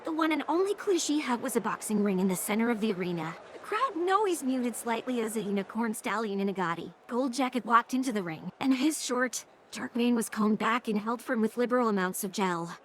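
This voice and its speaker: A woman's voice: on the high side, expressive, brisk, few pauses, a bit bright, slight background noise. A woman's synthetic text-to-speech voice reading scripted third-person story narration.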